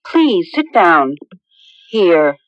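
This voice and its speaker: Slow-speaking, commanding young fiancée. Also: female voice, fairly low pitch, very animated, no echo, faint music behind, full character voice. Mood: impatient, dismissive. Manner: firm, dismissive, deliberate. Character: impatient, commanding young fiancée, skeptical and dismissive. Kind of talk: 1980s radio drama acting. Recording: dull lo-fi radio broadcast recording.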